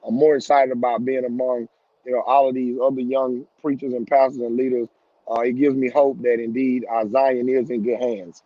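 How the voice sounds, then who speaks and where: A man in his thirties talks earnestly about his ministry over a video call, pausing on fillers as he reflects. The sound is dry and dull, as if through a cheap laptop mic. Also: mid-range voice, little pitch movement, dry recording. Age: 30s. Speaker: pastor and panel guest.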